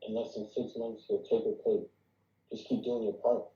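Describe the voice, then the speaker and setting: A man playing a calm, calculating, powerful father in his fifties at a drama table read. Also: fairly low pitch, normal speed, close to an everyday voice. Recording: dull, echoey, distant call audio.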